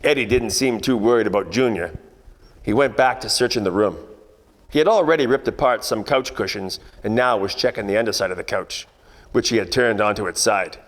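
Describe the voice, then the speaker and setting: A man playing a wisecracking, world-weary 1940s private detective, narrating a radio noir parody in deadpan hard-boiled style. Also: fairly low pitch, a little fast, big-room sound, lightly acted. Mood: wry, deadpan.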